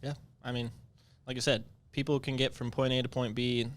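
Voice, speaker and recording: A young man co-hosting a casual podcast, talking in a relaxed, conversational way with plenty of fillers. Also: mid-range voice, fairly quick. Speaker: podcast co-host.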